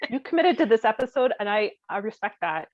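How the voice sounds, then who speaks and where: A woman's voice: fairly high pitch, sing-song, a little fast, a little mellow. A woman co-hosting a podcast in casual, comedic conversation. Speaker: podcast co-host. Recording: dull, dry audio.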